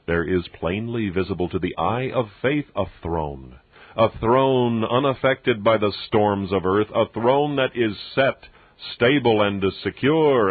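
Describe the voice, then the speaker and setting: A man reads religious text aloud as an audiobook narrator, in a solemn, measured and emphatic preacherly manner. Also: fairly low pitch, slightly rough, no room sound. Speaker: audiobook reader. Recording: dull muffled radio-like sound, very dry.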